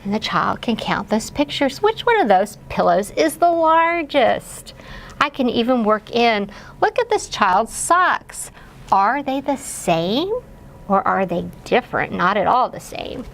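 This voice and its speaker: Woman, early childhood teacher type, unhurried explanatory delivery with gravelly fry, demonstrating questions for young kids. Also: fairly high pitch, sing-song. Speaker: early childhood educator guiding parents. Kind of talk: instructional read-aloud guidance.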